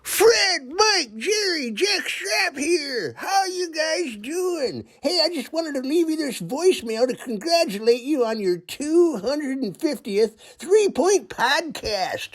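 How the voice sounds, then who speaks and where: A performer putting on a rambling, crude sports-fan caller who leaves a slow, pun-happy comic voicemail. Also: high-pitched, big pitch swings, heavily acted. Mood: jokey, folksy.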